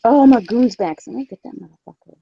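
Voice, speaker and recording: Casting: woman, Upper Midwest accent, soft mellow tone, candid livestream chat, echoey room. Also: a little high, quick pace, creaky, breathy edge, muffled recording. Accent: Upper Midwest American. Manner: candid, sweary. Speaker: co-host of a livestream. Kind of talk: casual chat.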